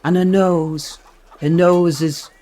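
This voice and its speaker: A man's voice: fairly high pitch, fairly even intonation, slow pace, dark tone. A man playing an earnest, muddled underling to a knight in a comic radio play, hesitant, literal and rambling. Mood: dreamy.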